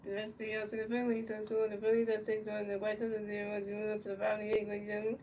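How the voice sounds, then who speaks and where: A woman's voice: medium pitch, monotone, racing, darkish tone. A woman from the United States doing casual, repetitive play-by-play for a baseball video game, stumbling over her calls and talking to her chat viewers. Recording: distant mic with faint background noise.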